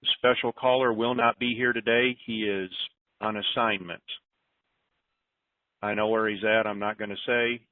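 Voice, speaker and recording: A man's voice: on the low side, level tone, moderate pace, pauses often. A man hosting an informal group call in a folksy, disorganized way, rambling through announcements and thinking aloud. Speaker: conference call host. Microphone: telephone. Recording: roomy, tinny phone conference line.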